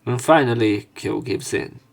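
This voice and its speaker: A man's voice: mid-range voice, measured pace, vocal fry, faint music behind. A man reading a visual novel's text aloud as a let's play narrator, putting emphasis into the spoken dialogue.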